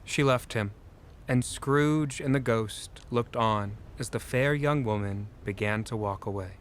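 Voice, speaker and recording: A man's voice: medium pitch, unhurried, a little hiss or hum. A man giving scripted storybook narration for an audio drama.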